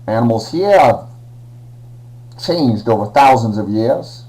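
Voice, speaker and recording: Male presenter, put-on Australian accent, unhurried delivery, dark tone. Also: mid-range voice, animated, low-quality recording. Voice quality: slangy Aussie put-on, drawling. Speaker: educational presenter. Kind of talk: playful science lesson.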